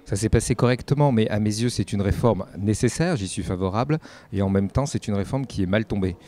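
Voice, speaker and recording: Low, mellow man explaining in an interview. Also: brisk. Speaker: mayor and head of a local authority, interviewee. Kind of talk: spontaneous political interview answers.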